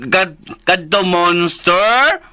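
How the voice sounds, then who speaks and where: High, halting, childlike simpleton. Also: male voice, lively intonation, pushed and bright, full character voice. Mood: simple, hesitant. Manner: slow, halting, stammering speech. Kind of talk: radio drama acting.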